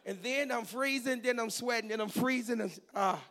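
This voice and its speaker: A man in his fifties, a pastor with a raspy voice, preaching a teaching sermon with goofy, joking asides between scripture reading and prayer. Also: extremely high pitch, moderate pace, sharp tone. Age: 50s.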